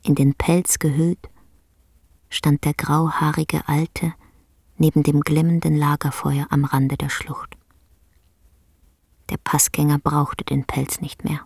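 A woman narrating a literary radio drama in a solemn, measured way, with a breathy, half-whispered voice. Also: low-pitched, level tone, a little mellow, dry recording, clean studio sound, exaggerated voice. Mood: solemn, sorrowful. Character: solemn, tender storyteller of a sad tale.

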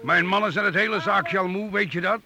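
An actor playing a gruff, defiant medieval master craftsman in a Dutch radio play. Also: sits high, fairly quick, crowd noise, lightly acted. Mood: impatient.

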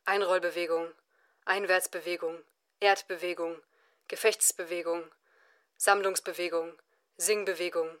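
An actress playing an impersonal narrator in an experimental radio play, reciting scripted lists in a rhythmic, incantatory way. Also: female voice, on the low side, a bit bright. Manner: incantatory, rhythmic list-like delivery. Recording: music behind the voice.

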